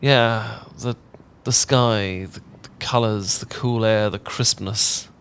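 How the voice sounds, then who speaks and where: A man's voice: on the low side, steady tone, takes it slowly, a bit bright, clear, resonant tone. A man with an English accent acting a bashful, affectionate young roommate in an intimate ASMR roleplay, chatty and stammering when flustered. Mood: fond, reflective. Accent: British, English. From England. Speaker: audio roleplay voice actor.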